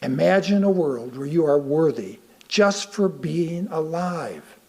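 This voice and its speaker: An American man reads a sermon aloud to a congregation, speaking deliberately with pauses for emphasis and rhetorical questions. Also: a little high, lively intonation, warm tone, breathy edge. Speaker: lay reader delivering a sermon.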